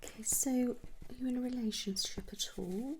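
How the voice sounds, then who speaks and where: A woman's voice: fairly high pitch, fairly even intonation, normal speed, slight background noise. A woman whispering soothingly and reassuringly as a nurse in a one-sided ASMR roleplay.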